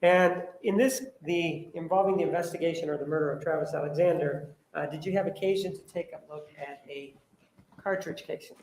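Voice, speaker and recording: A man prosecuting a case, asking a witness formal questions with occasional pauses and fillers. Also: on the high side, steady tone, darkish tone, a little hiss or hum. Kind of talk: courtroom questioning. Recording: roomy courtroom audio.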